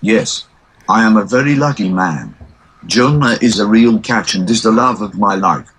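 A man's voice: lowish voice, normal speed, husky, poor audio. A man from South Africa answering interview questions through a text-to-speech voice with a British accent, which sounds the same no matter how he feels. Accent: British English (synthetic voice). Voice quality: monotone synthetic speech that cannot convey emotion. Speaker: interview guest.

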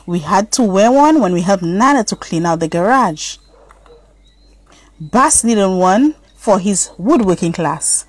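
A woman's voice: mid-range voice, expressive, a little slow, no echo, rough recording. A woman voicing a curious, polite little schoolgirl in a children's story, eager and inquisitive. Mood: recalling.

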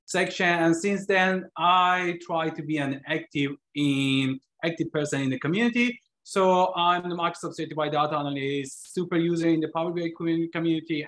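A man's voice: on the high side, fairly bright tone. Earnest man presenting on a video call. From Iran. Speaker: guest speaker, trainer. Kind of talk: online meetup presentation.

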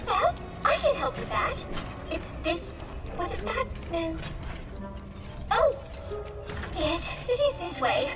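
A woman playing an antique female droid in a video game cutscene, courteous and earnest, speaking in formal, halting phrases. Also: very high voice, very animated, full character voice. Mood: eager, bewildered. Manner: formal, polite, halting speech. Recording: distant voice under loud music.

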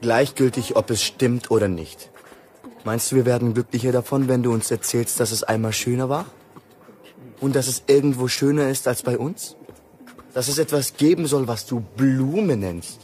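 A man's voice: medium pitch, normal speed, darkish tone, natural voice. An actor playing an embittered, dismissive man in a German radio drama. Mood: scornful.

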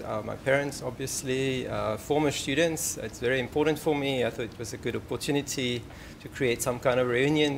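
A man in his forties with a French accent speaks from a podium in a large lecture hall. His voice has a gravelly, creaky edge and sits at an ordinary pitch. A faint hiss runs underneath as he talks in an earnest, halting way, with plenty of ums. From France. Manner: earnest, warm asides, frequent ums. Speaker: professor giving inaugural lecture. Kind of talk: formal lecture. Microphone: podium microphone.

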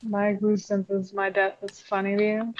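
A woman's voice: mid-range voice, monotone, moderate pace, natural voice. A woman voicing a weary, grumpy animatronic puppet in an improvised game roleplay, curt and sardonic. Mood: annoyed. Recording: dull lo-fi game mic.

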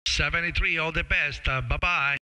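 A middle-aged man chats casually as a ham radio operator on a live stream, friendly and halting, into a close studio microphone. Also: fairly high pitch, steady tone, background noise. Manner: friendly, halting, calls listeners brother. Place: small home radio room. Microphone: boom-arm studio microphone with headset.

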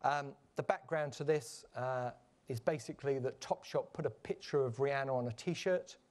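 A man giving a measured, explanatory conference presentation, with frequent fillers and restarts. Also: medium pitch, a little mellow. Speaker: conference panel speaker. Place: conference stage.

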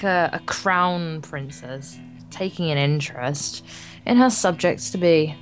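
A woman with an English accent co-hosts a let's play, reading the story aloud with casual comments. Her voice sits a little low, and faint music runs underneath. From England.

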